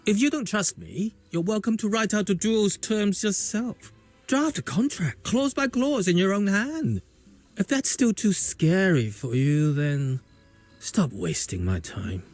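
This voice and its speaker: A man's voice: high voice, expressive, measured pace, a little mellow, faint music behind. Swaggering, menacing male game villain. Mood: sly, taunting. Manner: taunting, swaggering. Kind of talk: video game voice acting.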